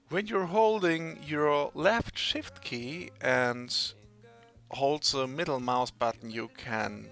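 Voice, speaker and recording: Slow, halting German-accented man. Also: mid-range voice, bright, forceful tone. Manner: slow, halting, searches for English words. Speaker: tutorial maker. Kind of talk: screen-recorded software tutorial.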